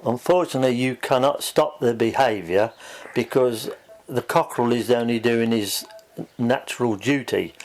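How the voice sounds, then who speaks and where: A man of medium pitch answers as a practical expert. His delivery is informal, matter-of-fact and plain-spoken. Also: a little mellow. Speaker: farm technician, poultry expert.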